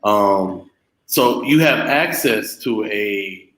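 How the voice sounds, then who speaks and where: A man's voice: lowish voice, animated, extremely slow, a bit bright. A man explaining things in a casual chat, in a hesitant, piecemeal way. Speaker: panel guest. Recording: echoey room.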